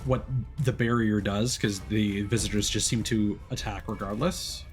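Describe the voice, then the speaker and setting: A man's voice: on the low side, steady tone, normal speed, soft background music. A man streaming a video game, moving between casual commentary and reading story narration aloud.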